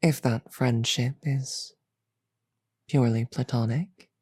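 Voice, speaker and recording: A woman voicing a regal, teasing, magnanimous demon queen in a roleplay audio drama, with a deliberate, amused drawl. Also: a bass voice, steady tone, breathy edge, no echo, exaggerated voice. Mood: warm, magnanimous. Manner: slow, deliberate, amused drawl with soft chuckles.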